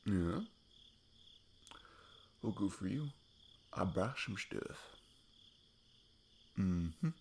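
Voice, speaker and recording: A man's voice: low-pitched, lively intonation, extremely slow, pauses often, slight background noise, full character voice. A man playing a sweet, goofy best friend on a date in an ASMR roleplay, rambling playfully and poking fun at himself. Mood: playful, sheepish. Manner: rambling, playful, self-deprecating. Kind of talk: intimate ASMR roleplay, improvised casual chat.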